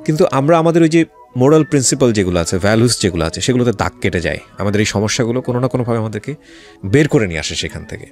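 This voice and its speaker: A man's voice: on the low side, lively intonation, rapid-fire, darkish tone. A man with a Bangladeshi accent hosting a radio interview, respectful and effusive, repeating phrases for emphasis. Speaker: radio show host, interviewer. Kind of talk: radio interview hosting, addressing guest and listeners. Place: radio studio. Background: music behind the voice.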